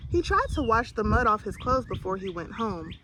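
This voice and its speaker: A woman reading a children's picture book aloud in an expressive storytelling style. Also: on the high side, normal speed, noisy background. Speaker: storybook reader.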